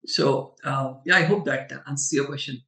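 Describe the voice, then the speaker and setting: Bright, echoey Indian man, emphatic. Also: medium pitch, moderate pace. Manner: emphatic, storytelling with rhetorical check-ins. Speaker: webinar panelist. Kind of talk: conversational question-and-answer talk. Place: online video webinar. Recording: roomy echo, distant from the mic.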